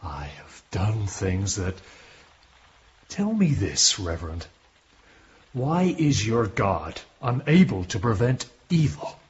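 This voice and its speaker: A brooding confessor-seeker in a radio drama. His intense, challenging questions swing widely in pitch, in slightly dull sound. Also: sing-song, takes it slowly. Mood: accusing, brooding. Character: brooding male visitor challenging a priest about God and guilt. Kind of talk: broadcast radio drama acting.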